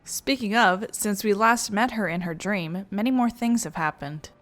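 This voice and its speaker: A woman's voice: mid-range voice, normal speed, fairly bright tone. A woman hosting a game playthrough, commenting casually and reading dialogue aloud. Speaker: gaming video host.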